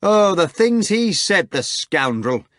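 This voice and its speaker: A man's voice: high-pitched, very animated, normal speed, a bit bright, no room sound, studio quality, pitched above the performer's own voice. A man voicing a brash young housemaid who shrieks, mocks and gloats with glee. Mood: indignant. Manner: shrieking, gleeful, mocking.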